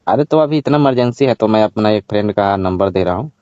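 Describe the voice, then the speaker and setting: Cheeky money-minded village seller guy played by a man, lowish voice, teasing and dodgy, dull lo-fi phone recording. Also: lively intonation, quick pace, darkish tone, dry recording, lightly acted. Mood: businesslike. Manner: matter-of-fact, teasing, evasive. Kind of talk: staged phone-call skit, casual Hindi chat.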